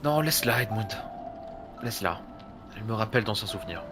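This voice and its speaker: An actor playing a brooding adventurer in an audio drama, with a laconic, dry, sardonic way of talking. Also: male voice, fairly low pitch, animated, a little fast, music in the background, close to an everyday voice. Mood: morose. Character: brooding, wry male adventurer, master of the house.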